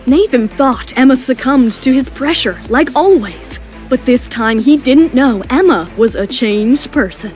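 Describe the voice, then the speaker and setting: A woman's voice: high voice, expressive, unhurried, few pauses, darkish tone. A woman reading dramatic scripted narration as the narrator of an audio drama. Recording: music behind the voice.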